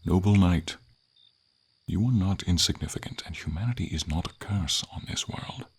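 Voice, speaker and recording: A man playing a gentle, noble, melancholy silver dragon in an ASMR fantasy roleplay, speaking tenderly and formally in a breathy, gravelly voice. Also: exaggerated voice. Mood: earnest, consoling. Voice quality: husky, gravelly, breathy low voice. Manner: slow, tender, formal, hesitant phrasing.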